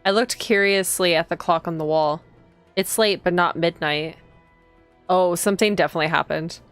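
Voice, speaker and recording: A woman's voice: fairly low pitch, normal speed, fairly bright tone, smooth, no echo, soft background music. A woman reading visual novel text aloud as a streamer, expressively, with casual reactions to the story.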